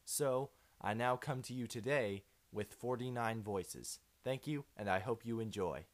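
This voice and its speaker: A man's voice: medium pitch, measured pace. A man speaking as an amateur impressionist, introducing a voice demo.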